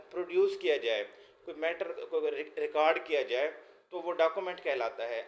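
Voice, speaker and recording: Pakistani guy teaching law, kinda high voice, really slow, explains and repeats stuff, echoey recording. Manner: explanatory, repeats and rephrases points. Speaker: law lecturer. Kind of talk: exam lesson, reading out questions then explaining them. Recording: echoey, roomy sound.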